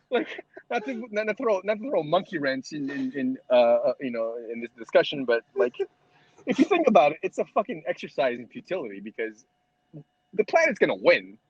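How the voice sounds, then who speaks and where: A man's voice: a little high, wildly swooping pitch, fairly quick, slightly rough, no echo, highs cut off. A man co-hosting a casual podcast chat who stammers, repeats his words and swears freely. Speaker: podcast co-host.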